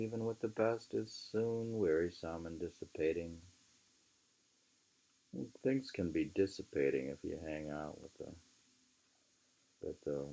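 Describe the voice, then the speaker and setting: A man reading literature aloud in an emphatic, deliberate, musing way, with informal asides. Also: fairly low pitch, vocal fry, dark tone, rough recording. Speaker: reader.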